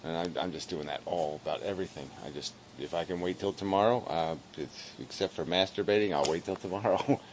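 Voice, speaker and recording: Low-voiced weary man rambling. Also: a little fast, few pauses, slight background noise. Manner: weary, low-energy, self-deprecating. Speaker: video blogger. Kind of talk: rambling casual monologue to camera.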